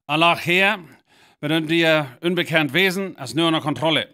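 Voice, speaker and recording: An actor playing a confident army captain reporting from the field in a radio drama. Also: male voice, a little high, normal speed, pushed and bright. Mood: confident, brisk.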